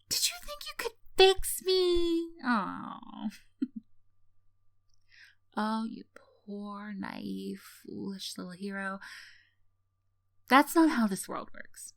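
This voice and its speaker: A woman's voice: medium pitch, sing-song, extremely slow, gravelly, pauses often, fairly bright tone, exaggerated voice. A sardonic villainess, acted by a woman for an ASMR roleplay, speaks in a mid-range voice. She is teasing and condescending, mocking with theatrical scoffs while hiding a caring streak. The sound is very dry, without room echo. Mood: condescending, incredulous. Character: sardonic tsundere female villain, mocking yet secretly caring.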